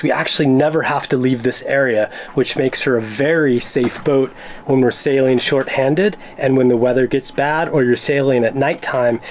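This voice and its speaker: A man's voice: mid-range voice, slight background noise. An American man talking casually and explaining things in an easygoing way, as a sailing vlogger on a tour. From Arizona, United States. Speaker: sailing vlogger and boat owner.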